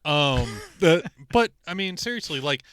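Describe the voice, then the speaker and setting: Male podcast co-host: crisp, forceful, animated rambler, casual and sweary. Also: on the high side, a little slow, no room sound, clean studio sound. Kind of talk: casual opinionated film and game chat.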